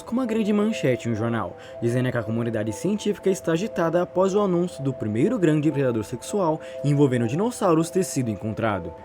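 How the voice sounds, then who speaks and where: A man with a Brazilian Portuguese accent tells a story in a casual, intrigued way. His voice sits fairly high and swings in a lively range. Clear music plays behind his narration. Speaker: YouTube narrator.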